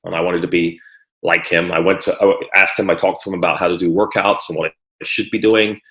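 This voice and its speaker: A man's voice: sits low, fairly even intonation, brisk, a bit bright. A middle-aged man from Guyana, raised in England, talking easily as a podcast guest about his sports career and often repeating words as he starts a sentence. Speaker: former Olympic hurdler and trainer, guest. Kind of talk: conversational interview. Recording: echoey room.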